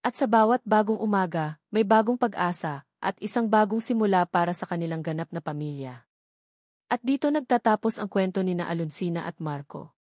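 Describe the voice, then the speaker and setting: A woman's voice: medium pitch, no room sound. Dry-sounding Filipino woman narrating romance. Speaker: story narrator. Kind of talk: scripted sentimental romance narration.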